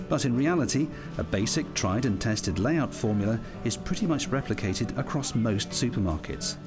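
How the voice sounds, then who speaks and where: Animated British male narrator over music. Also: mid-range voice. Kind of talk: scripted training film narration. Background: background music.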